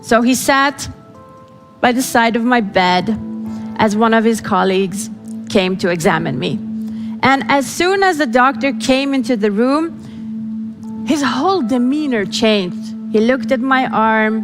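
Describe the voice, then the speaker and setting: A woman whose first language is Farsi, telling a personal story to an audience in an earnest, dramatic way with pauses. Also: on the high side, lively intonation. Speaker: speaker. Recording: reverberant hall with faint music.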